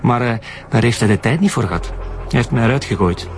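An actor playing a cynical, bitter comedian son with a Flemish accent and a mocking, defiant manner in a radio drama. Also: male voice, mid-range voice, lively intonation, a little fast, a little hiss or hum, close to an everyday voice. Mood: bitter, scornful.

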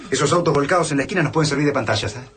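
Actor as fast-talking, stubborn Argentine fighter. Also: male voice, fairly high pitch, noisy background, natural voice. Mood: eager, urgent. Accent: Rioplatense Argentine Spanish. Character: Paco, stubborn impulsive male resistance fighter. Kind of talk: radio drama acting. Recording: loud music bed behind dialogue.

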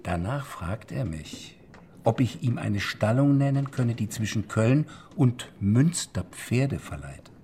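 A man's voice: medium pitch, big pitch swings, takes it slowly, mellow, a little hiss or hum. An actor playing a shrewd, worldly banker's man who tells of secret dealings in a theatrical, storytelling way in a radio drama. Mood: conspiratorial. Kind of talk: German radio drama acting. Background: music.

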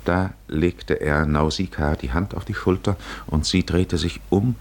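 A man with a low voice narrates a literary radio play in the 1950s manner. He speaks very slowly and solemnly, setting deliberate pauses between phrases.

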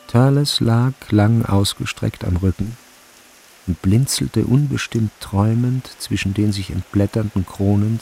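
A man narrating a literary radio play in a measured, solemn storyteller's manner. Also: lowish voice, vocal fry, a little mellow. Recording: faint background hiss.

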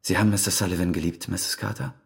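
An actor playing a formal, probing police detective questioning suspects in a radio crime drama, with a husky, gravelly voice. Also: male voice, low-pitched, steady tone, fast, heavily acted. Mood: insistent.

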